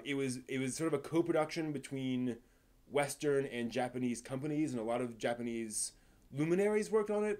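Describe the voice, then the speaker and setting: A man with an American accent hosting a casual live stream, answering viewer questions in a halting, rambling, think-aloud way. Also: medium pitch, a little mellow. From East Coast of the United States.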